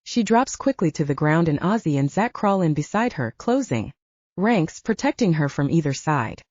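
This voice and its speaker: Mellow, expressive woman narrating fiction. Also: low voice, normal speed, no room sound, highs cut off. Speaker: audiobook narrator. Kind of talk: dramatic scripted fiction narration.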